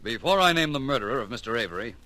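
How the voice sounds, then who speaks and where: An actor playing a calm, courteous, shrewd detective in an old-time radio drama, polished and formal. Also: male voice, mid-range voice, very fast. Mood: courteous, probing. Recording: noisy vintage radio show recording.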